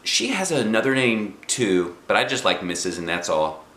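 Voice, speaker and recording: A man reading a children's story aloud, expressive and unhurried, with casual asides. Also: on the low side, roomy sound. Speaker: storybook reader, dad.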